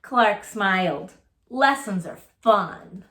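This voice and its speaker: A woman's voice: medium pitch, animated, takes it slowly, fairly bright tone, reverberant. A woman reading a children's storybook aloud like a teacher, expressively, talking directly to her young listeners.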